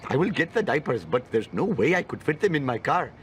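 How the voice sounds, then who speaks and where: A man's voice: mid-range voice, big pitch swings, brisk, slight background noise, natural voice. A male actor playing an earnest, polite Indian shopkeeper in a cartoon game, with an Indian accent and formal, stilted phrasing. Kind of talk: video game cartoon cutscene acting.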